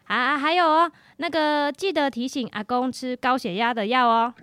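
A woman playing a brisk, nagging but caring mother in a radio drama, with a Taiwanese Mandarin accent. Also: high voice, steady tone, fairly bright tone, smooth, faint music behind. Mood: instructing, chiding. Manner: bossy, coaxing.

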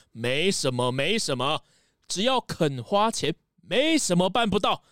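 High-pitched, pompous rich tycoon. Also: male voice, lively intonation, slow pace, a bit bright, dry recording, clean studio sound, exaggerated voice. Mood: bragging. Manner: pompous, showy, chuckling. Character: boastful, jovial rich tycoon who owns a castle. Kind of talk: children's audio drama.

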